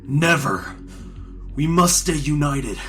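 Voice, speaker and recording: A man's voice: fairly high pitch, animated, takes it slowly, music in the background. A man playing a proud, combative fire warrior, declaiming emphatically in a dramatized fantasy audiobook. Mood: defiant.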